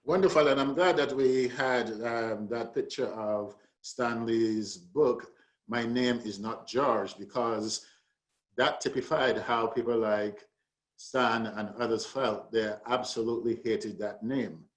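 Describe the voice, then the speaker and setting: A man speaking as a panelist, explaining history as a story in a measured, deliberate manner. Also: mid-range voice, steady tone, reverberant. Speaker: panelist and author.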